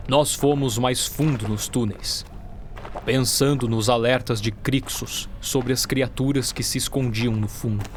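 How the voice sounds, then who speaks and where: Low-voiced Brazilian man narrating audio drama. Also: steady tone, a little slow, a little hiss or hum. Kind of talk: dramatic scripted first-person narration. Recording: music bed under the voice. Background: music.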